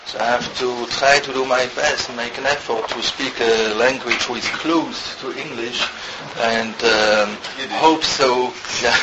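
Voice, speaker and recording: A man from Switzerland teaching a Torah lesson in halting non-native English, quickly reading out Hebrew text and then translating it. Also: mid-range voice, fairly even intonation. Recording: muffled with loud background hiss.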